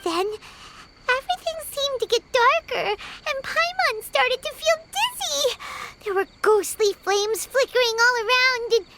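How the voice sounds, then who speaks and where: A woman voicing a tiny, childlike, excitable and easily frightened fairy companion in a video game, squeaky and expressive. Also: heavy background noise, heavily acted. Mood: nervous, frightened. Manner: refers to self in third person, expressive, drawn-out exclamations.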